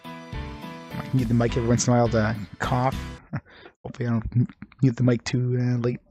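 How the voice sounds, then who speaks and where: Brief: congested, slightly nasal man, mid-pitched, chatting loosely through a game session, faint game music behind. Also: completely flat delivery, a little fast. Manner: rambling, chuckling, many fillers. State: congested, recovering voice. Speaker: game streamer. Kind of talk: casual let's-play commentary.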